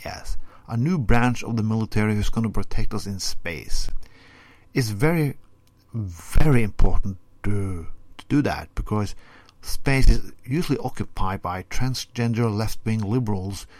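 A man whose first language is Norwegian, speaking in a fairly low voice with deadpan sarcasm. His phrasing is halting and non-native, and the recording is dry and close, with no room sound. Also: no echo. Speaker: podcast segment presenter. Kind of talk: scripted commentary segment, sarcastic.

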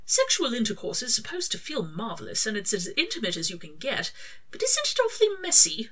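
Prim, fussy male angel for a story reading, played by a woman: formal, dignified, easily indignant, crisply bright. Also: on the high side, wildly swooping pitch, fairly quick, pitched above the performer's own voice, heavily acted. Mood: prim indignation. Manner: formal, proper, mildly indignant.

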